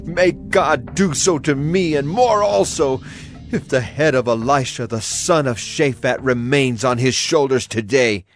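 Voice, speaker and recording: A man plays an embattled, distrustful king in an audio Bible drama. The mid-pitched voice is weighty and emphatic, with light music underneath. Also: a little slow, lightly acted. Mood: angry, vowing. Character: embattled king of Israel, grim and suspicious.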